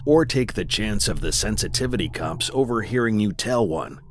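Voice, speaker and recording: A man's voice: fairly low pitch, lively intonation, normal speed, a little hiss or hum, close to an everyday voice. A man acting in an audio drama as a grave, matter-of-fact member of a secret comedians' club.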